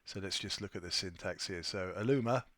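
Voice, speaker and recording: A man presenting an informal software tutorial, thinking aloud in a somewhat hesitant way as he demonstrates. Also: deep voice, a little fast, dry recording, clean studio sound. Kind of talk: informal screen-share walkthrough.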